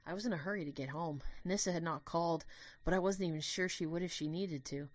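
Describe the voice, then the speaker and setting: Woman narrator reading a dramatic story, deep for a woman, earnest, very dry close sound. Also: fast talker, no room sound.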